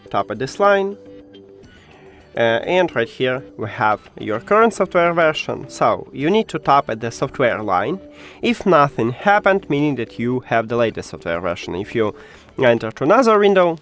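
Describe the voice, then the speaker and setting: Man with lively pitch giving tech instructions, non-native English. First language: not English. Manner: instructional, addresses the viewer directly. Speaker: tech tutorial presenter. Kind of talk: step-by-step how-to narration.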